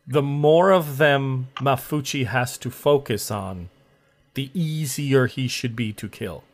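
A cold, calculating vampire woman, as a man puts her on in a tabletop game. She speaks slowly and deliberately, in a middle-pitched voice, as if weighing every word. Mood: coldly strategic.

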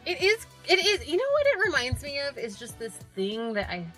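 A woman co-hosting a podcast in casual chat, with an animated, irreverent and sarcastic way of talking that includes free swearing. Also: high-pitched, brisk, faint music behind. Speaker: podcast co-host.

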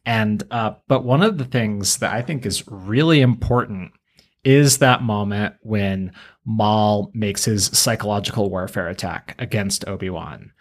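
Casting: male podcast co-host, fairly low pitch, casual commentary with a wry, exaggerating streak. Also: measured pace. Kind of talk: casual chat, pop-culture commentary.

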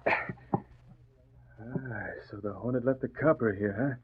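A man's voice: medium pitch, slow, husky. An actor playing a shifty, tough gangster in a 1940s radio drama, with slangy tough-guy talk. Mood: gruff. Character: shifty tough male gangster, bullying yet cornered. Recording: noisy, tinny vintage radio recording.

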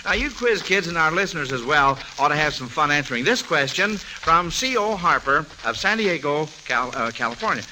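An American man hosting a live 1940s radio quiz, encouraging and repeating answers back, on a hissy old recording. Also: fairly high pitch. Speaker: quiz show host.

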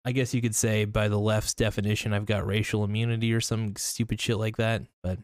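A man's voice: on the low side, flat delivery, a little fast, no echo, clean studio sound. A young man hosting a casual solo podcast, rambling through his thoughts with lots of fillers and restarts. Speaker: podcast host.

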